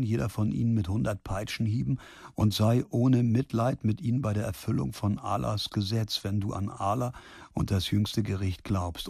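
An actor in a German radio drama playing a gruff, brooding spare-parts dealer who mutters brusquely. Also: male voice, fairly low pitch, a little mellow, dry recording, studio quality. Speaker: lead character. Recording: clear background noise.

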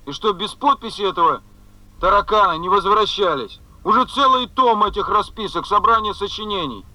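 An actor playing a put-upon housing official in a radio play, grumbling with indignant, sarcastic exasperation. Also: male voice, high voice, moderate pace, bright, forceful tone, no echo, low-quality recording. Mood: exasperated. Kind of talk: Soviet radio play acting.

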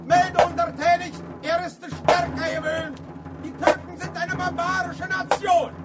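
An actor playing a servile Prussian lieutenant in a radio drama, giving clipped, obsequious military reports. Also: male voice, extremely high pitch, flat delivery, measured pace, loud music behind the voice. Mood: dutiful.

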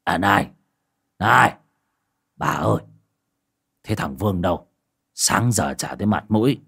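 Low gruff old village dad played by a man, drawling then hollering, lively swings. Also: measured pace, full character voice. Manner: drawling with hesitant "à", bursts into loud scolding. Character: gruff rustic old village father, hot-tempered.

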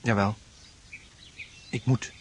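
An actor playing a troubled, anxious tenant in a radio drama, earnest and hesitant. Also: male voice, slow, slight background noise, close to an everyday voice. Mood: uneasy. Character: troubled male tenant, anxious, convinced the house is wrong. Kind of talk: Dutch radio drama acting.

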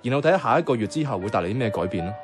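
A man plays an eager, philosophising young friend in a radio drama, speaking Hong Kong Cantonese. He explains things with playful earnestness, very lively and fast, with music clearly behind him. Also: medium pitch, close to an everyday voice. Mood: enthusiastic.

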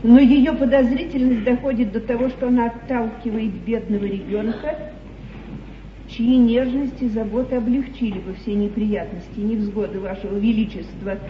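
A woman's voice: fairly high pitch, fairly even intonation, normal speed, echoey room, lightly acted. An actress playing a fretful yet imperious queen in a theatrical, declamatory mid-century stage comedy style. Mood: musing. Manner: grand, declamatory, plaintive. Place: large theatre stage, echoing. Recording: muffled, hissy 1950s theatre broadcast, distant.

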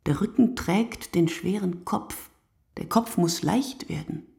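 Sleepless woman narrator, husky, gravelly, reflective, measured phrasing, literary radio play. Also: lowish voice, dark tone, no echo, studio quality, heavily acted. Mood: weary, reflective. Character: sleepless woman musing in a literary night monologue. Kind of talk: scripted literary radio monologue.

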